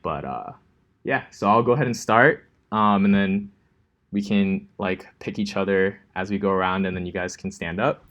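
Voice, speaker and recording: Laid-back young male coding instructor. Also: lowish voice. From Los Angeles, California. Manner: relaxed, chatty, many ums and likes. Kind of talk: casual classroom lecture. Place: classroom.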